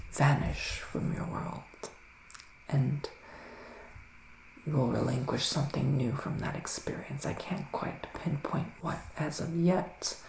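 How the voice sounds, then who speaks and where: A man speaking softly and often whispering in an intimate ASMR roleplay as a gentle psychic witch, with deliberate, soothing pauses. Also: mid-range voice, reverberant, slight background noise, low-quality recording. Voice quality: soft, breathy, often dropping to a whisper. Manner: slow, deliberate, pausing, soothing delivery. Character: gentle male witch giving a psychic reading. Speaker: ASMR performer playing a psychic tarot reader.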